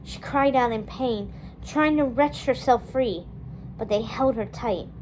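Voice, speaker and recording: Higher-voiced woman reading a story. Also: measured pace, darkish tone, a little hiss or hum, low-quality recording. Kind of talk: children's novel read-aloud, narration.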